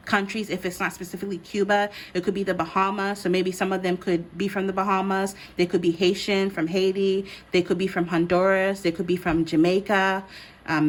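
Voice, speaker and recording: A woman from the United States and Ghana, giving a casual, conversational card reading as she speaks directly to her listeners. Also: medium pitch. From born in the United States, grew up in Ghana. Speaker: host, tarot card reader.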